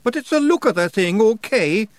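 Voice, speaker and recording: A man's voice: sits high, expressive, normal speed, dry recording, voice pushed up. A man voicing a tiny, boisterous male fairy warrior chief with a broad Scottish accent in an audiobook. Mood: blustering. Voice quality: broad dialect, blustering and cheerful. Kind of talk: audiobook character voice.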